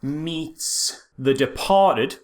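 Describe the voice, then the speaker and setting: A man's voice: on the high side, very slow. A man co-hosting a podcast with casual comic banter, jokey and mock-incredulous. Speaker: podcast co-host.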